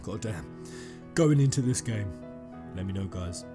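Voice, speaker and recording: A man hosting a fan theory video, rambling and emphatic, addressing his viewers directly in an excited commentary style. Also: on the low side, a little slow. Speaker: gaming YouTuber, host. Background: music under the voice.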